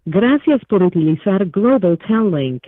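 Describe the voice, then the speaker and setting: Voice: medium pitch, big pitch swings, moderate pace, heavy background noise. An automated telephone system announcer reading a scripted call notice over a phone line. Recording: tinny, narrow phone line.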